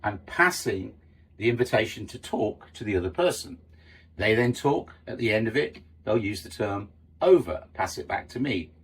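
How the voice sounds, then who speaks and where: A man's voice: fairly low pitch, lively intonation, normal speed, breathy edge, rough recording. A middle-aged man giving an informal, explanatory lesson as a sailing instructor, conversational and stressing key terms.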